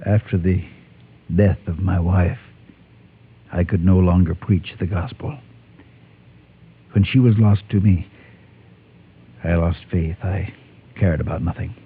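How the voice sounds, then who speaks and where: An actor playing a guilt-ridden former gunfighter turned preacher in a Western radio drama, speaking in a grave, halting, confessional way with a husky voice. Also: male voice, low voice, fairly even intonation, full character voice. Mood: grieving, bitter. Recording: muffled, tinny old-time radio recording.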